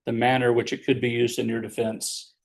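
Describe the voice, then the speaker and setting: Male courtroom lawyer, mid-pitched and fairly monotone, patiently spelling out each question as defense counsel. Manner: measured, explanatory, checks answers with leading questions. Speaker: defense attorney questioning his client.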